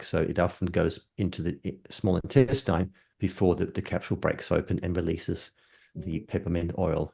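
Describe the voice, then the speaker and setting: Older herbalist, very low, dark gravelly tone, measured explainer. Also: male voice. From Denmark. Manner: measured, explains terms step by step. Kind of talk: explanatory interview answers.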